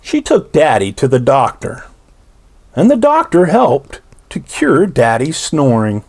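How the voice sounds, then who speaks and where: A man's voice: a little high, wildly swooping pitch, measured pace, darkish tone. A man reading a children's storybook aloud in a theatrical, playful way, drawing out his phrases.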